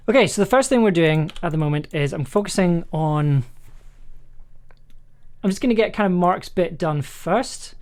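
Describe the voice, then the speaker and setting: Streamer doing audio editing, thinking out loud, mid pitch, kinda hesitant and chatty. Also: lively intonation, normal speed. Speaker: streamer and audio drama editor. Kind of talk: casual live-stream editing commentary.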